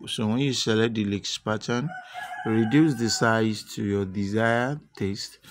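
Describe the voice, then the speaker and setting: A man narrating a step-by-step software tutorial unscripted, with halting, repetitive instructional phrasing. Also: fairly low pitch. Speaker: tutorial presenter.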